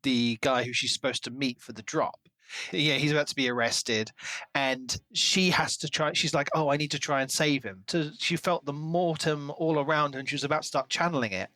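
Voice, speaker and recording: A man's voice: a little high, moderate pace, bright, forceful tone, no room sound. A man co-hosting a podcast, discussing books in a casual, conversational way. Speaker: podcast co-host.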